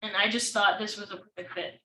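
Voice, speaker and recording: Bright-voiced teen girl, echoey room. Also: mid-range voice, level tone, a little fast. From Hawaii. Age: teens. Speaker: student. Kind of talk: self-introduction. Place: event hall. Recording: echoey room, distant mic, faint noise.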